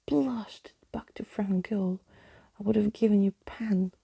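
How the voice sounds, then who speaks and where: A woman voicing a plucky, indignant young girl from a storybook in a breathy whisper. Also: medium pitch, measured pace, warm tone, heavily acted. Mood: grumbling.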